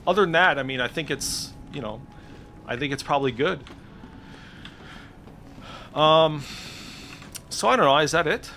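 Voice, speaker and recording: A man chats casually through a game add-on demo. His voice is bright and forward, his manner informal and profane, and some faint noise sits behind him. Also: on the high side, unhurried, long pauses. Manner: informal, swears freely. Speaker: streamer demonstrating game add-ons. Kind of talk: casual screen-share commentary.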